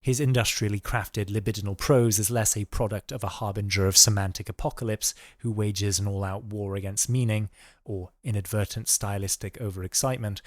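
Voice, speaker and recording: A man reading dense written prose aloud in a measured, articulate, formal way. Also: lowish voice, dry recording, clean studio sound. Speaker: reader of a quoted passage.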